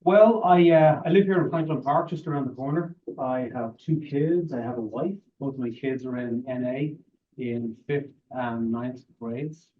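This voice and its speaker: Irish man in his forties, courteous applicant, far from the mic on a tinny line. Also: medium pitch. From Ireland. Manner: measured and courteous. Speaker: board applicant. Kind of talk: formal interview answers. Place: council meeting room. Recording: tinny, distant council-room audio.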